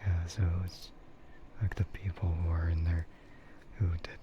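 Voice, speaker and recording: A man with a low, soft voice that often slips into a whisper, rambling slowly as he streams ASMR. His delivery is relaxed and hesitant. The recording is muffled, with clear noise behind him. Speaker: livestreamer.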